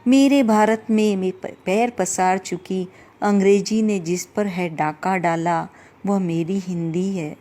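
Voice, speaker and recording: A woman reciting a poem with slow, impassioned delivery at a Hindi day event. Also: mid-range voice, fairly even intonation, warm tone. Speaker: poetry reciter.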